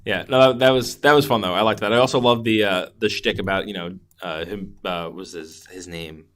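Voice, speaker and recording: A man's voice: lowish voice, fairly even intonation, brisk, fairly bright tone, a little hiss or hum. A man co-hosting a podcast, reviewing a film in a casual, enthusiastic, rambling way and laughing readily. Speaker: podcast co-host.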